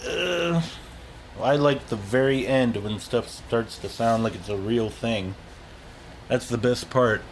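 A man's voice: mid-range voice, takes it slowly, slight background noise. A man chatting casually as an interviewed sound engineer, explaining things in a rambling, colloquial way.

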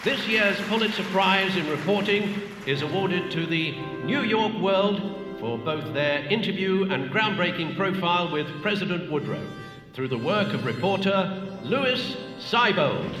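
A man's voice: high voice, lively intonation, a little slow. A man playing a formal, proud award presenter making a ceremonial announcement in an audio drama. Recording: echoey hall with music behind.

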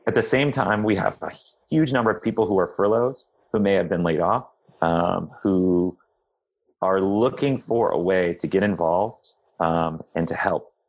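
Low-voiced man explaining in halting phrases. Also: highs cut off. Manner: measured, halting, searching for words. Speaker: interview guest, public health leader. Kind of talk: explanatory interview answers.

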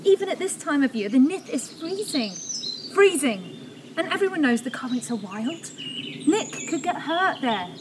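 A woman's voice: sits high, very animated, normal speed. A woman playing an anxious, bitter sister in an audio drama, speaking in an emphatic, exasperated manner. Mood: alarmed. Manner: emphatic, exasperated, repeating herself. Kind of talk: naturalistic audio drama acting. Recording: clear outdoor bird noise. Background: birdsong, outdoor ambience.

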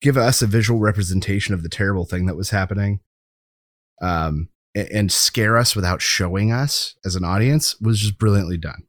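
A man's voice: fairly low pitch, moderate pace, dry recording, clean studio sound. A man co-hosting a podcast, chatting casually and enthusiastically about a TV show. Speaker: podcast co-host.

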